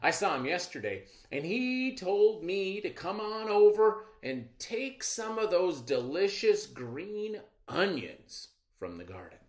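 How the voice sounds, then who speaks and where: A man voicing a sly, smooth-talking trickster rabbit with friendly, persuasive patter in a children's storybook. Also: high-pitched, big pitch swings, takes it slowly, hardly any pauses, pitched above the performer's own voice, heavily acted. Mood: friendly, scheming. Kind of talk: children's storybook read-aloud.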